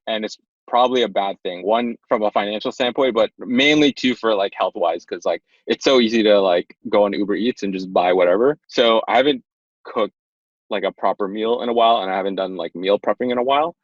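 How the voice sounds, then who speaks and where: A man in his twenties, a podcast guest, explaining things in a relaxed, rambling way with many fillers. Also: on the low side, dry recording, muffled recording. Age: 20s. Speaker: guest, startup tech executive. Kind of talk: casual interview chat.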